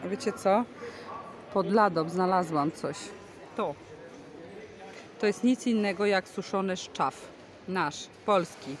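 A woman hosting a casual vlog, chatting enthusiastically and explaining goods as she films with a handheld phone in a large covered market hall. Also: mid-range voice. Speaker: vlog host. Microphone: handheld phone camera, speaker behind it. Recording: busy market crowd noise. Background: bustling market crowd.

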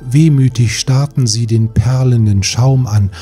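Male audiobook narrator, medium pitch, measured fairy tale storytelling over faint music. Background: soft music.

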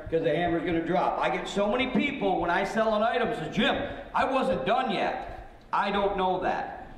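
A man working as a live auctioneer, folksy and blunt, talking straight to the bidders. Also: high voice. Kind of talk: live auction sales patter. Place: large indoor auction room. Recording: very echoey hall, some background noise.

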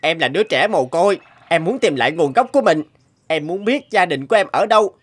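A woman voicing an earnest, wounded young orphan demon in an animated fairy tale, pleading and plaintive. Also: lowish voice, a little fast, husky, soft background music.

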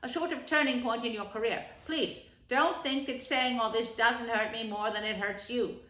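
A woman's voice: on the high side, normal speed, pushed and bright. A woman voicing a firm, kindly male water rat who speaks in an earnest way. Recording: roomy echo.

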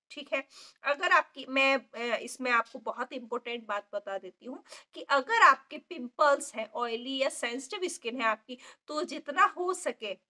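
A woman in her forties with a sore throat chats through beauty tips into a phone held close in a small parlour. Her voice is high and bright. She keeps asking viewers "okay?" between steps. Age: 40s. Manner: chatty and instructive, many fillers, frequent check-ins to viewers. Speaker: makeup artist. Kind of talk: casual beauty tips vlog. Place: indoor beauty parlour with ceiling fan. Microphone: phone camera held close.

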